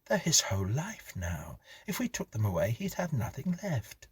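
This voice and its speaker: A man's voice: medium pitch, wildly swooping pitch, moderate pace, slightly rough, voice pushed up, heavily acted. A man voicing a doubtful, protective wife and mother in a story reading, hesitant and pleading.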